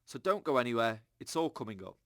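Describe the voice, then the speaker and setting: An actor playing an earnest, upbeat radio host, chatting to listeners in an audio drama. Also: male voice, medium pitch, very animated, quick pace, no room sound, clean studio sound. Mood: chatty, sincere. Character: chirpy, earnest, government-trusting male radio show host.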